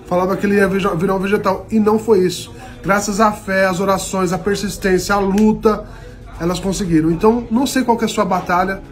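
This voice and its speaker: A man in his thirties giving an earnest, preachy talk to viewers in an electronics store, filmed on a phone at arm's length. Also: sits high. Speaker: charity vlogger. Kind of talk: motivational vlog talk. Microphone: phone held at arm's length. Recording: music and store crowd behind.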